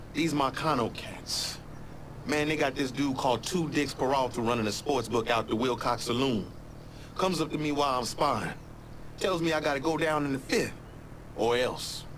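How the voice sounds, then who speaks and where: An actor playing a streetwise young boxer. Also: male voice, medium pitch, normal speed, fairly bright tone, slight background noise, close to an everyday voice. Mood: laid-back. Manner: loose, streetwise slang, laid-back. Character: streetwise young male boxer under pressure.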